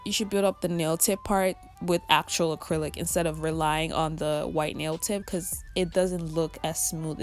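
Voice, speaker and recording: A young woman with a raspy, just-woken voice, rambling and self-critical as she narrates a vlog into her phone. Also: lowish voice. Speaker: nail art vlogger. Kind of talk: casual voice-over narration.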